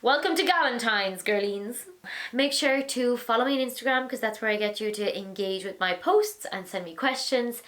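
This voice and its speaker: Chatty animated Irish woman, slightly high. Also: moderate pace, a little hiss or hum. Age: 20s. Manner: animated, acts out little voices, frank and chatty. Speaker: vlogger, host of an advice series. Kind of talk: casual chat, answering viewer questions.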